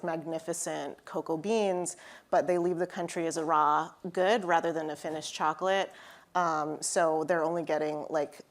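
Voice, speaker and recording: A woman who owns a chocolate shop, explaining at a live panel discussion in a measured way. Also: deep voice. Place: live event venue.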